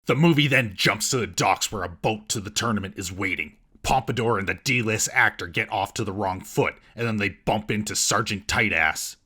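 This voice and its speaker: A man's voice: lowish voice, normal speed, hardly any pauses, pushed and bright, husky. A man hosting a scripted comedic film recap in a sarcastic, mocking manner. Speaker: comedic film reviewer and host.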